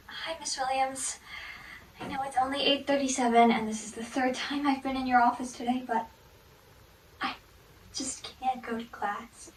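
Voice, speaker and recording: A woman playing a bullied teenage girl pleading with her counselor in a hushed, breathy voice. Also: sits high, lightly acted. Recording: roomy, from far off.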